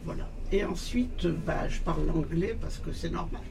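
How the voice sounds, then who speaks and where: A woman's voice: low voice, normal speed, vocal fry. An older woman from Morocco answering interview questions, hesitant and full of fillers, with occasional chuckles. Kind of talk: recorded oral history interview. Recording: distant mic, noisy room.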